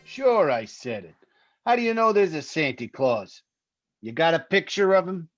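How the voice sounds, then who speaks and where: Man playing a cocky, higher-voiced radio-drama suspect. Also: big pitch swings, normal speed, dull audio, faint music behind. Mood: defiant. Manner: defiant, sneering, slangy. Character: cocky, cynical male suspect, streetwise grumbler. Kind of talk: 1950s radio crime drama parody.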